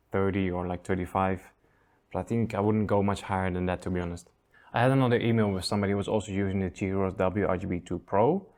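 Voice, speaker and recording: A man's voice: lowish voice, darkish tone. A man hosting a casual review show, easygoing and chuckling often as he trails off and restarts sentences. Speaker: aquascaping channel host.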